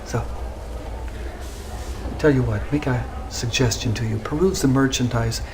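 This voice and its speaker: A man's voice: mid-range voice, measured pace, close to an everyday voice. A man playing a sly, theatrical weapons smuggler in a video game, with a sing-song salesman's manner. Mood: playful, wheedling. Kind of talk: hammy video game cutscene acting. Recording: faint background noise, old game audio.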